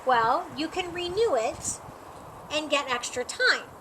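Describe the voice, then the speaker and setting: Woman reading as a high, lively, helpful storybook librarian. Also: takes it slowly, noisy background. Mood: welcoming. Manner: welcoming, instructive, encouraging. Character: friendly, helpful woman librarian guiding schoolchildren. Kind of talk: storybook character read aloud.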